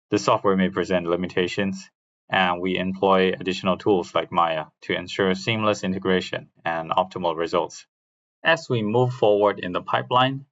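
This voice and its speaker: Low-voiced man giving a technical presentation. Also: fairly bright tone, highs cut off.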